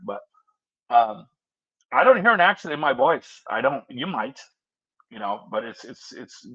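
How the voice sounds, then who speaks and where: Middle-aged man from Southern California, earnest and bursty, bright tone, lo-fi voice chat audio. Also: mid-range voice. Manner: earnest, talks in bursts with restarts. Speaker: voice chat participant. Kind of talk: casual chat.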